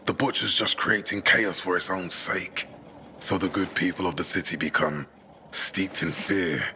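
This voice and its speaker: An actor playing a zealous propagandist who talks with impassioned scorn. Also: male voice, low voice, bright, forceful tone, slight background noise, exaggerated voice.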